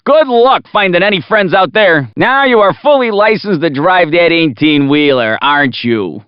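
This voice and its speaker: A cocky male radio announcer delivers teasing, folksy race patter for a video game. His intonation leaps around in a very animated, showy way. The recording is dry and a little dull, like compressed game audio. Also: sits high, clean tone, no echo. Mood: hyped, dramatic, then wry, playful.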